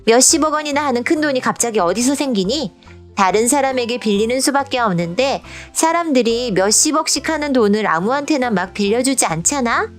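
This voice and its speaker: A woman plays a patient young princess in a cartoon explainer. Her voice sits on the high side as she tells things step by step in a casual storytelling way. Faint music runs behind her. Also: lively intonation, brisk, lightly acted. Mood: patient explaining. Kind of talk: scripted animated explainer in casual speech.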